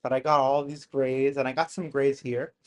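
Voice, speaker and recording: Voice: medium pitch, flat delivery, moderate pace. A person in their thirties chatting casually with live-stream viewers from home in the countryside, rambling and laughing often. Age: 30s.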